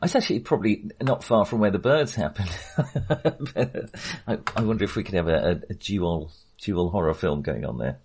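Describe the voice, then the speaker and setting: Low, husky British man, hesitant talker. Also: expressive, normal speed, a little mellow. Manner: hesitant, searching for words. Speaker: podcast co-host. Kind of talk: casual film discussion.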